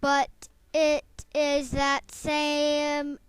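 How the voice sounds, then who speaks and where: A woman voicing a gentle magic frog in a storybook, reading very slowly and haltingly. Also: high-pitched, monotone, no room sound, faint music behind, heavily acted. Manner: very slow, halting reading with restarts.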